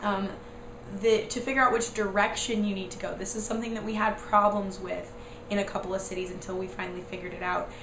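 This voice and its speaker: A young American woman, hoarse from a cold, with a raspy, squeaky voice, casually rambling through a vlog with lots of "like" and "um". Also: little pitch movement, fast talker. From United States. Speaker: vlogger. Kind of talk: casual chatty explanation. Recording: echoey room with noise.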